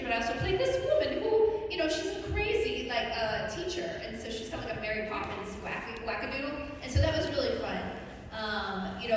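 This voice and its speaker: Woman panelist, high-ish, chatty and animated, far from the mic in a reverberant hall. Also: slight background noise. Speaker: voice actor panelist. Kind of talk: casual panel talk. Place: convention hall.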